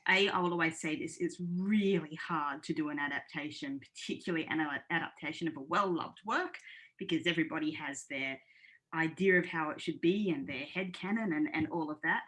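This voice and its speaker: A woman speaking casually as a podcast panellist, in a measured and candid way. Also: low voice, fairly bright tone, low-quality recording.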